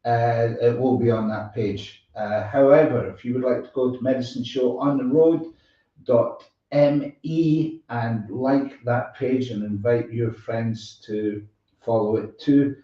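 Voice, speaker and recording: A man's voice: medium pitch, fairly even intonation, unhurried. A man casually hosting a live online music show, with a hesitant, meandering delivery. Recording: muffled, echoey, far from mic.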